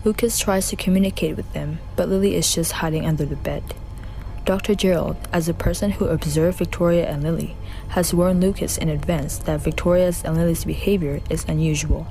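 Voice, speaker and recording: Low, mellow woman narrating. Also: steady tone, fairly quick, gravelly, rough recording. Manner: plain, careful reading with non-native grammar slips. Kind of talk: read narration for a student radio drama.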